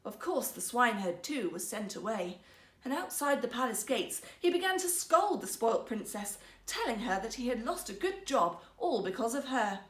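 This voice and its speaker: A woman storyteller reading a fairytale for children in a theatrical, expressive manner. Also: fairly high pitch, normal speed. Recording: echoey room.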